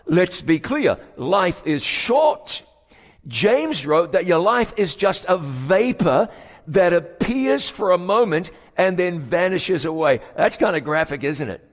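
A man preaching a sermon in slow, emphatic phrases with dramatic pauses. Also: sits high, sing-song. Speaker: pastor. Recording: long hall reverb.

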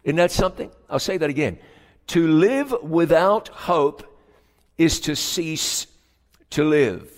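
A male pastor delivers a sermon in a raised, fairly high voice. He stretches key words for emphasis and sinks to a quiet hush at serious moments. A long hall reverb trails each phrase, as from a stage mic in a large sanctuary. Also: expressive. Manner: emphatic preaching with drawn-out key words and sudden hushed drops.

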